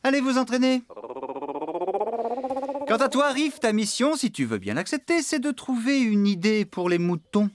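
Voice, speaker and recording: High-pitched, excitable cartoon farmhand. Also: male voice, normal speed, long pauses, noisy background. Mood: brisk, encouraging. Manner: animated, exclaiming, giving orders. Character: excitable, bossy young farmhand organising a carnival parade. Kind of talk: French children's cartoon acting.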